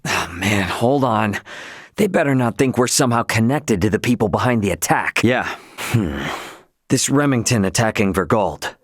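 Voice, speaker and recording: A man's voice: medium pitch, breathy edge, no room sound, full character voice. A close, dry studio sound carries a brash young male starship captain in a video game. He talks bluntly in casual slang, cocky and hot-headed yet loyal, in a mid-range voice.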